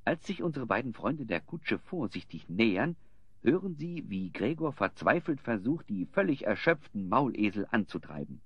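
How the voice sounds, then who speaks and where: The storyteller of an adventure radio play, narrating with dramatic, very animated intonation at a medium pitch. The recording is a little dull. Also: male voice, normal speed, husky, no echo. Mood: suspenseful. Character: vivid male storyteller of an adventure tale. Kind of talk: scripted audio play narration.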